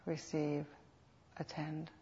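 A woman teaching guided meditation in an unhurried, soothing manner with reflective pauses, on a dull lo-fi recording. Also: deep voice, monotone, mellow. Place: meditation center. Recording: dull, lo-fi live talk recording.

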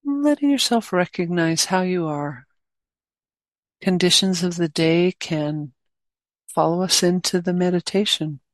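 Female meditation guide, lower-pitched, very unhurried and gentle, short phrases with long gaps. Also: dry recording. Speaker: meditation teacher.

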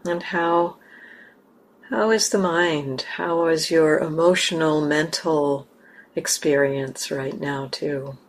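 Slow, low-voiced woman guiding meditation. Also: fairly even intonation, slight background noise. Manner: gentle, unhurried, with long pauses. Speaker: meditation teacher. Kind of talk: guided meditation.

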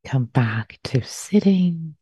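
A woman guides a meditation in a low, very mellow, dark voice. She speaks softly and breathily, very slowly, leaving long pauses between gentle phrases. The close recording is slightly dull. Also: lively intonation, breathy edge, no room sound. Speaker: meditation teacher.

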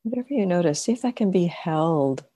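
A woman with a low, mellow voice leads a guided meditation. She speaks very slowly and gently, leaving long pauses between short phrases. The sound is close and dry. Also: lively intonation, no echo. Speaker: meditation teacher.

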